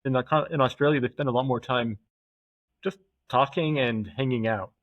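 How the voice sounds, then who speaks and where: A man, a podcast guest, talking in a thoughtful, halting way with many fillers and restarts. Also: mid-range voice, dry recording. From United States. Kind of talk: casual conversational interview. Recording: dull, muffled podcast audio.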